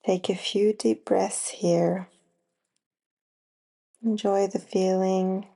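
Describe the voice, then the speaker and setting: A woman leads a guided relaxation as a yoga nidra teacher. Her voice is mellow and soft-edged, with a clear creak of vocal fry. She speaks very slowly, in short phrases separated by long pauses. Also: mid-range voice, little pitch movement. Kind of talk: slow guided relaxation meditation.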